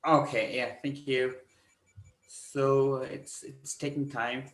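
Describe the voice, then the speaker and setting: A middle-aged man from Nepal, giving an academic conference talk over a video call in a measured, explanatory way. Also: medium pitch, little pitch movement, a bit bright. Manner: measured, explanatory, pauses often to find words. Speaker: conference presenter, architecture researcher. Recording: roomy echoing sound.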